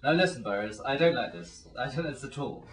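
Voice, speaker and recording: A man playing a pompous, florid, rambling gentleman in an absurd comic radio play. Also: medium pitch, animated, fast talker, close to an everyday voice. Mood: exasperated. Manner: florid, grandiloquent. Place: small dressing room. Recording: echoey room, distant microphone.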